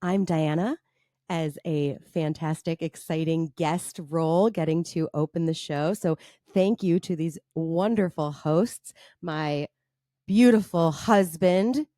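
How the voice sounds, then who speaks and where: A woman's voice: low voice, slow pace, warm tone, no room sound, studio quality. A woman opening a comedy podcast, talking casually and piling on playful praise. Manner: playfully effusive. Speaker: podcast co-host.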